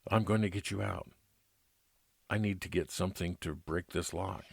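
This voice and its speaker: Deep-voiced man playing a private detective. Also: steady tone, moderate pace, gravelly, dry recording, clean studio sound. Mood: urgent. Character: private detective narrating his own case. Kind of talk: scripted first-person narration, old-time radio detective drama.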